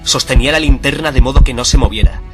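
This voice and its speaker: An actor playing a nervous, obsessive murderer confessing his crime in a theatrical, deliberate radio drama monologue. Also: medium pitch, lightly acted. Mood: frenzied, agitated. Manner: theatrical, deliberate, swelling from hushed to frenzied. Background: music under the voice.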